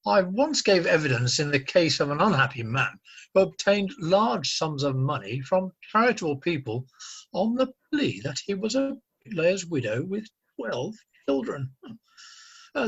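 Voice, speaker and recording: Self-important medical gent male actor, theatrical, highish and very animated, for comic radio play. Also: slightly rough, muffled recording, exaggerated voice. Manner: pompous, theatrical. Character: fussy, self-important mad-doctor, echoing his colleague. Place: home, recorded over a video call.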